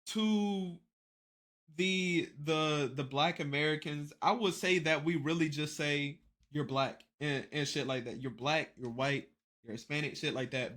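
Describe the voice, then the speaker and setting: A man's voice: a little high, bright, forceful tone. A young man giving casual reaction commentary as a streamer, rambling, restarting his words and swearing offhandedly.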